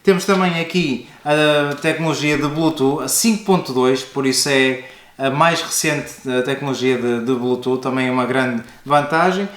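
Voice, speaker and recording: A man with a European Portuguese accent giving a casual, explanatory product review, talking directly to the viewer. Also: fairly high pitch. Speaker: product review video host. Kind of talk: casual product unboxing and review talk to camera. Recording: echoey, roomy indoor sound.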